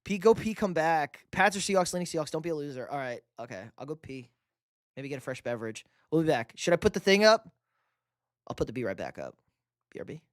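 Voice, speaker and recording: A man chats through a live football reaction stream, reading viewer questions aloud and firing back casual, swear-laced answers. His voice sits a bit high for a man. The sound is dry and close, with no room around it. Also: sing-song, fairly quick, no room sound. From New York. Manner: loose, profane banter answering chat. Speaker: livestream host.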